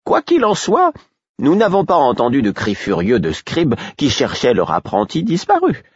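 A man's voice: medium pitch, huge pitch swings, moderate pace, darkish tone, breathy edge, dry recording, highs cut off, voice pushed up. A man voicing a kindly older male harpist, a father, who speaks in a reassuring, lecturing manner.

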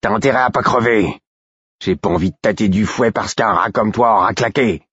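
Man doing a growling, brutal prison guard, husky. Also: fairly low pitch, moderate pace, fairly bright tone, no echo, lo-fi sound, pitched above the performer's own voice. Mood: furious, threatening. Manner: growling, crude slangy speech.

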